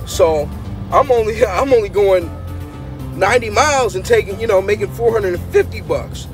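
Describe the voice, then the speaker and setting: A man's voice: sits high, animated, normal speed, music in the background. A man in his thirties, a truck driver talking to his phone at arm's length, exasperated and chatty, often saying bro. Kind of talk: casual vlog to camera. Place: outdoors at farms and loading docks, and in a truck cab. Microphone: phone held at arm's length.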